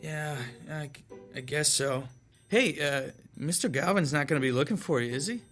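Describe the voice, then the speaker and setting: A man's voice: fairly high pitch, normal speed, fairly bright tone, faint music behind, lightly acted. A man playing a shy young stagehand who talks hesitantly, stammering. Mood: sheepish. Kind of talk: radio drama acting.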